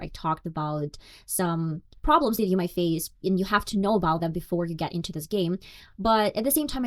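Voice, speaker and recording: Low-voiced Russian woman hosting a podcast. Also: darkish tone. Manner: candid, reflective, self-deprecating. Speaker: podcast host. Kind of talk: solo podcast monologue.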